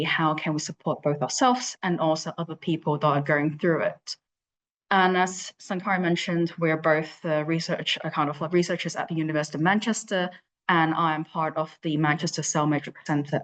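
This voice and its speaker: A woman's voice: deep voice, fairly even intonation, moderate pace. A woman from Hong Kong giving a virtual conference talk as an invited researcher, with frequent fillers and restarts. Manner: hesitant, many fillers and restarts. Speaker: invited speaker, lab leader.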